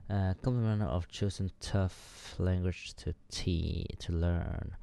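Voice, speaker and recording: A man's voice: deep voice, fairly even intonation, slow pace, gravelly, warm tone, clean tone. A man streaming a dating game from his home room on a headset mic, mumbling and joking as he reads out choices. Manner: mumbling, thinking aloud, joking. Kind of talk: let's play commentary.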